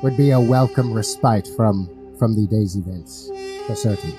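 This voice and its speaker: A man roleplaying and narrating at a tabletop game in measured, halting phrases. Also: on the low side, over music.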